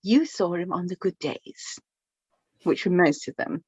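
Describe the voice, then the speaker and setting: A woman's voice: lowish voice, darkish tone, husky, no room sound. An actress playing a chatty, affectionate middle-aged mother of the bride, slightly flustered and hesitant, in a radio play. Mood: fond, chatty. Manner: hesitant, halting, chatty, with small nervous repetitions. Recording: dull lo-fi, slightly muffled recording.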